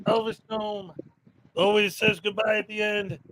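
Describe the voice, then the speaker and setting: A man's voice: high voice, level tone, measured pace, fairly bright tone, rough recording. A man hosting a casual livestream show, upbeat and rambling, with lots of fillers. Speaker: livestream host.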